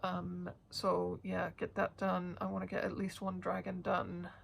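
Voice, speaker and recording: A woman with a blocked, sniffly nose talks to camera in a loose, rambling way, pausing often with hesitant fillers. Her voice has a gravelly, fry-tinged texture. She keeps her pitch flat and even throughout. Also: a little hiss or hum. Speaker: cross-stitch vlogger. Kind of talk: casual chat to camera.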